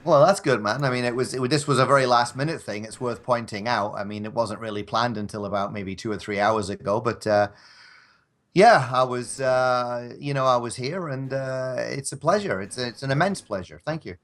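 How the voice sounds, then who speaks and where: A man's voice: mid-range voice, normal speed, fairly bright tone. A man with a mid-range voice chats casually as a guest. He rambles a little and banters as he goes. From Scotland. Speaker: podcast guest, audio drama actor. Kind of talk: casual chat.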